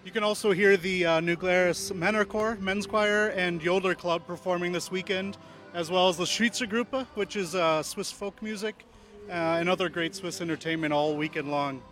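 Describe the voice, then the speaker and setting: A man's voice: high-pitched, normal speed, fairly bright tone. A man from the band promoting other festival acts in an informative way, heard off-mic outdoors. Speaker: band member. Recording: distant mic with faint music.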